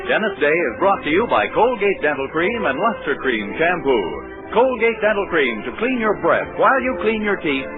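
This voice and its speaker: Male American announcer, 1940s radio, very animated scripted reads, narrow old broadcast sound with music behind. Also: on the high side, normal speed, few pauses.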